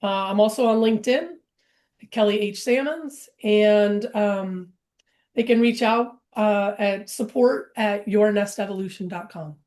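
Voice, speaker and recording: A woman's voice: mid-range voice, unhurried, darkish tone. A woman guest on a podcast, telling stories in an upbeat, casual way.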